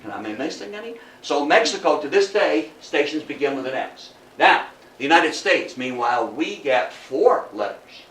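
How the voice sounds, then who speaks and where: An older man giving a public history lecture in a theatrical, emphatic storytelling manner, with dramatic pauses and rhetorical questions. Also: fairly high pitch, lively intonation, fairly bright tone. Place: indoor talk room before an audience. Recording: roomy, distant mic, faint noise.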